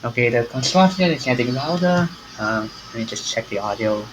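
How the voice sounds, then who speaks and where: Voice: medium pitch, lively intonation, normal speed, background noise. A streamer reading a visual novel's dialogue aloud, swinging their voice up and down between lines.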